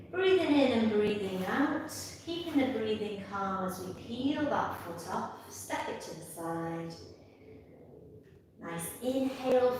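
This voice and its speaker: A woman instructor leads a live tai chi class, giving calm, measured step-by-step cues and repeating her phrases. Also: mid-range voice. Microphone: far from the speaker. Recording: distant mic in echoey room, faint noise.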